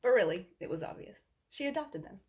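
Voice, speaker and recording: A woman reading a picture book aloud to children in an expressive storytelling style. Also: medium pitch, a little fast. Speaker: storybook reader.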